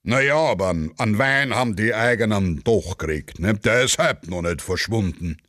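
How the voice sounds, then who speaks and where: A man's voice: lowish voice, lively intonation, moderate pace, fairly bright tone, no echo, studio quality. A man playing a greedy Austrian general in a satirical radio play, grumbling and self-satisfied in colloquial speech. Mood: nosy.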